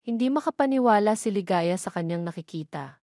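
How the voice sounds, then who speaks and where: A woman's voice: mid-range voice, expressive, a little fast, darkish tone, no room sound, studio quality. A woman reading scripted narration of a romance drama as a storyteller.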